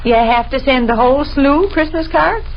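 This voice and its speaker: Vintage radio comedy: penny-pinching, nagging American homebody wife, fairly high voice, dull hissy archive sound. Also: female voice, normal speed. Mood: skeptical, scolding. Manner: folksy, plain-spoken, challenging. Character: Sade, thrifty, nagging, folksy homebody wife. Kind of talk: 1930s radio comedy acting. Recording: dull, noisy 1930s radio recording.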